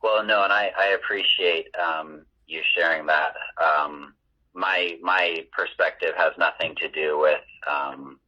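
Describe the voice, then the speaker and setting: A man teaching school answers a parent calmly and carefully, hedging with many ums. His voice is very deep and husky, and the recording sounds muffled and lo-fi. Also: unhurried. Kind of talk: measured reply in a tense call.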